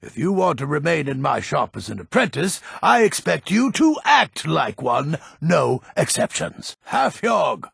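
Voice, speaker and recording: An actor playing a grumpy, pessimistic old male alchemist and shopkeeper in a fantasy video game, curt and exasperated, with a gravelly rasp. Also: fairly high pitch, big pitch swings, unhurried, hardly any pauses, a bit bright, no echo, studio quality, heavily acted. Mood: scolding, exasperated. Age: older. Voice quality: gravelly, rasping.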